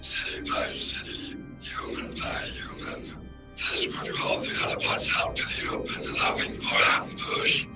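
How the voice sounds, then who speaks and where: A man's voice: a little slow, echoey room, distant mic, loud music behind the voice. A man voicing a cold, grim robot military commander in a comic dub, with a metallic filtered voice and grave, declaiming delivery. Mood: menacing. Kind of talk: comic dub character acting.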